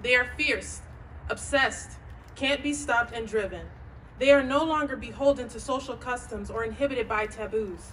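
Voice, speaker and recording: High-voiced woman presenter, distant noisy recording. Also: moderate pace, fairly bright tone. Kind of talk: scripted reading of a statement. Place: live event at a bar and grill.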